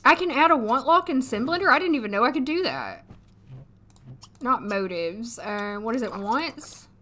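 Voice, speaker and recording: A woman in her thirties, hoarse today, chatting casually and thinking aloud as she streams a life-sim game from a desk at home. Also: on the high side, sing-song, normal speed, pushed and bright. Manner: chatty, thinking aloud, frequent little laughs. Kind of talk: casual gameplay commentary. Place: home room, seated at desk.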